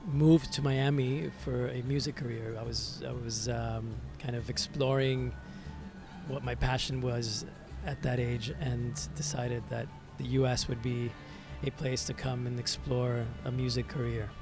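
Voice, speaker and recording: A man from Venezuela, a native Spanish speaker, telling his story as an interview guest in a reflective, halting, conversational way, repeating words as he searches. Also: medium pitch, flat delivery. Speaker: interview guest, musician and hospitality entrepreneur. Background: music.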